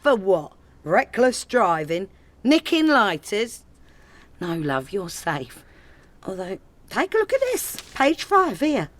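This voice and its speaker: An actress playing a sarcastic, nagging wife with a London accent in a comedy radio play. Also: female voice, mid-range voice, wildly swooping pitch, slow. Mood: mocking.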